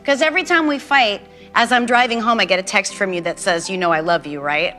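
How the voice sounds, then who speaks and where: A woman's voice: medium pitch, brisk, pushed and bright, soft background music. A woman hosting a talk show in front of a live audience, bantering with her guest in a blunt, teasing, profane way. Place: stage before a live audience.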